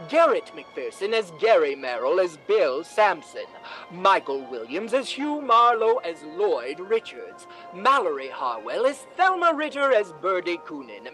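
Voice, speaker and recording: Formal, animated radio announcer over music. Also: medium pitch, rough recording. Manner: formal, theatrical. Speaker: announcer and host of a radio drama. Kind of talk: 1950s radio announcing, scripted narration.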